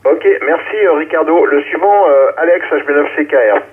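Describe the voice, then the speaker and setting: A man's voice: on the high side, normal speed. A man acting as net controller, calling stations in turn over an amateur radio relay. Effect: amateur radio transmission. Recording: narrowband, phone-like ham radio relay.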